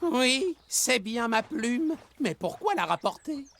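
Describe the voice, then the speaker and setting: A woman playing a gentle, grateful male bird in a children's cartoon, speaking in an unhurried way. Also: on the high side, very animated, gravelly, full character voice. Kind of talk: French children's cartoon dub. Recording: loud music under the voice.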